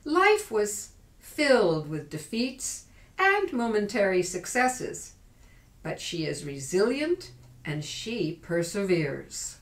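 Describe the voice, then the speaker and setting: A woman's voice: mid-range voice, very animated, takes it slowly. A woman presenter reading a scripted introduction to a stage piece. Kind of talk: scripted introduction, read aloud. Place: performance hall at an arts center. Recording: echoey hall.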